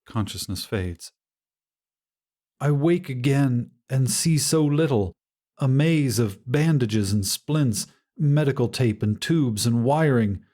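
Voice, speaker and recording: A man narrating a scripted first-person story in a measured, dramatic way with deliberate pauses. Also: medium pitch, animated, dark tone. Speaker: audiobook narrator.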